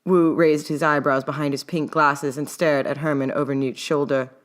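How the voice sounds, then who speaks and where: A woman's voice: deep voice, level tone, normal speed. A woman reading her own fiction aloud as scripted story narration.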